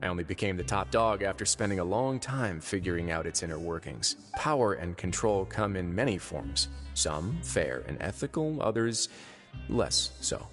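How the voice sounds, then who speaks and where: A calm, shrewd duke who rules a prison fortress in a fantasy game. He talks in a smooth, measured way and teases politely. His voice sits a little low, with faint music underneath. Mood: wry. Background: game background music.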